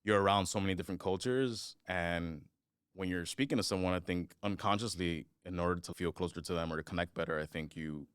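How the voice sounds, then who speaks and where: A young man, chatting as a podcast guest, rambling with many fillers and trailing off quietly at the ends of thoughts. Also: sits low, a little fast, clean tone, no echo, clean studio sound. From United States. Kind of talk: casual podcast chat.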